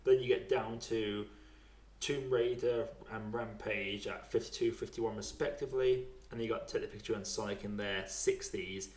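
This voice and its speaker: A man's voice: lowish voice, steady tone, normal speed, distant mic. A man hosting a podcast in casual chat, talking in a wry, rambling, conversational way. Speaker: podcast host. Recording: roomy, echoey home recording.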